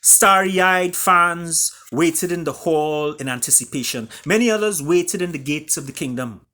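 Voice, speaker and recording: A man reading and retelling a fable as its author, with dramatic pauses and emphatic delivery. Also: fairly high pitch, sharp tone. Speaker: author reading his own book.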